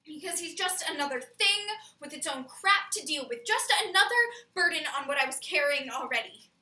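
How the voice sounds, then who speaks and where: A woman's voice: high-pitched, expressive, fairly quick, bright, forceful tone, heavily acted. A woman performing a stage monologue as a troubled young woman who questions love and herself emphatically. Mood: anguished, searching. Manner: searching, rhetorical, emphatic questioning. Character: troubled young woman agonizing over love and self-doubt. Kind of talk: theatrical dramatic comedy monologue. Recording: echoey room, far from mic.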